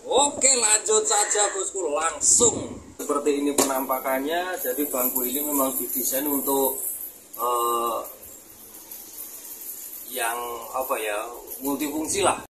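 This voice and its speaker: A man's voice: medium pitch, slow, pauses often. A young man giving an informal, casual product demonstration to viewers. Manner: informal, addresses viewer as boss. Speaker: seller presenting a product review. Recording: noisy home video with clicks.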